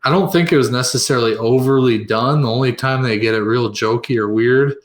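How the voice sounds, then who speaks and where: A man's voice: mid-range voice, brisk, a little hiss or hum. Rambling male podcast co-host. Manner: rambling, many fillers and restarts. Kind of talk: casual film review chat.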